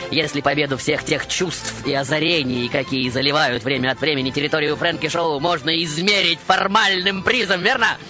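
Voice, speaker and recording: A man's voice: a little high, fairly quick, hardly any pauses. A man hosting a radio show with a theatrical monologue, grandiose and playful in his delivery. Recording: radio show over prominent music. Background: music.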